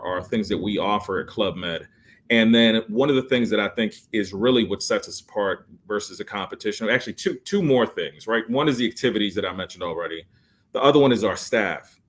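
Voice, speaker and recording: A man in his late forties, a resort sales guest on a podcast, giving an enthusiastic pitch full of fillers and restarts. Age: 40s. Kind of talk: podcast interview.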